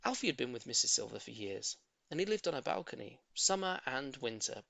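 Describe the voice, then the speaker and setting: A man's voice: medium pitch, very animated, fairly quick, creaky, bright, forceful tone, no echo. A man narrating a children's story expressively, with dramatic emphasis on key words. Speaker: audiobook reader.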